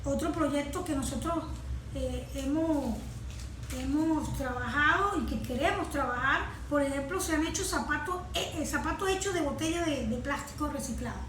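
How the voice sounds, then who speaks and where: A woman explaining as a guest specialist, hesitant and halting, pausing often. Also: sits high, fairly bright tone. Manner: hesitant, halting, with frequent pauses. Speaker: guest panelist, ecology project specialist. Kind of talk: spontaneous explanatory talk. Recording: roomy echo, faint background noise.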